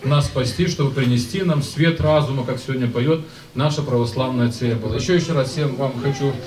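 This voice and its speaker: A man in his fifties, a priest, giving a halting festive blessing to his congregation, pausing mid-phrase. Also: mid-range voice. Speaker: priest, church rector. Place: church school hall, indoors. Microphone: handheld microphone, recorded from a distance. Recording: roomy echoing hall, distant, audience noise.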